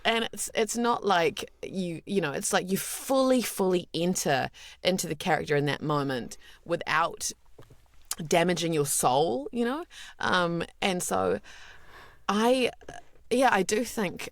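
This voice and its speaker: Deep-voiced woman speaking candidly. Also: a little slow, no room sound, clean studio sound. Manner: candid and reflective, with many fillers and restarts. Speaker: guest voice actor. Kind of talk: podcast interview.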